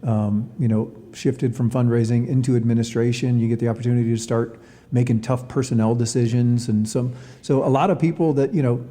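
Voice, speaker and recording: A man answering interview questions as a college athletics director, in a measured way with hesitant, repeated words. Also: medium pitch, fairly even intonation, warm tone, long reverb tail.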